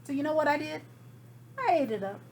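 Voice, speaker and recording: A woman's voice: sits high, expressive, brisk, a little hiss or hum. A woman reading a storybook aloud as a folksy wolf, chatty and dramatic, excusing himself with feigned innocence. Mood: sly, matter-of-fact. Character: folksy, self-excusing wolf telling his side. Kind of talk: storybook read aloud to children.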